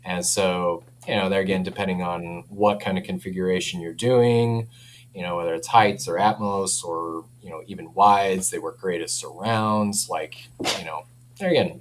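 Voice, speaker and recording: Male product rep, low voice, informal and enthusiastic, easy chuckler, recorded over a steady hum. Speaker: speaker company representative giving a product demo. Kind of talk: casual product chat. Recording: clear background noise with hum.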